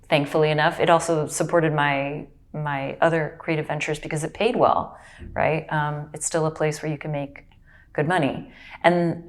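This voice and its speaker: A woman from Brazil, a native Portuguese speaker, recalls her path as an actor and narrator in an unhurried, reflective way. Her voice sits low for a woman. She talks casually and tells it like a story. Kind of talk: casual interview.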